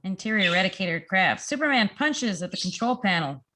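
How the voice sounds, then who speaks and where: A woman's voice: mid-range voice, sing-song, brisk. A woman reading scene descriptions from a script at a table read, over a noisy recording. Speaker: reader of stage directions.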